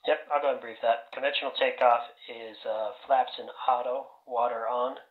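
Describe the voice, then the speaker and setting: A man's voice: medium pitch, steady tone, moderate pace. A man giving an informal step-by-step procedural briefing as a flight leader over online voice chat. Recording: muffled, roomy voice chat.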